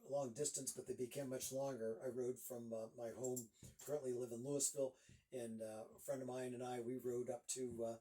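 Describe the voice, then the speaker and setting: Mellow-voiced older man, a bit off-mic. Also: medium pitch, little pitch movement, brisk, recorded from a distance, rough recording. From Northeast Ohio. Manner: rambling, many uh fillers. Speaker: guest author. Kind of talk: casual interview.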